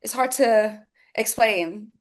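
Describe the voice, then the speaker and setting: A woman's voice: a little high, slow pace. A chatty young woman from Finland, a native Swedish speaker, talking as a guest singer in a casual interview over a remote call. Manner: chatty, laughs easily.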